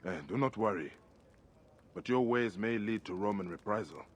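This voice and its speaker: An actor playing a grave, principled Egyptian assassin leader in a video game, speaking in a measured, solemn way. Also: male voice, lowish voice, natural voice. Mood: stern, measured. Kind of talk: dramatic video game cutscene acting.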